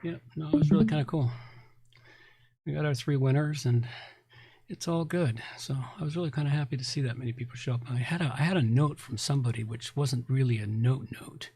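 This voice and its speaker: A man's voice: medium pitch, fairly quick, dark tone. He is a man with a mid-range voice, talking casually as a podcast co-host. His delivery is blunt and rambling, with a grumbling edge. It sounds like unscripted pre-show chat.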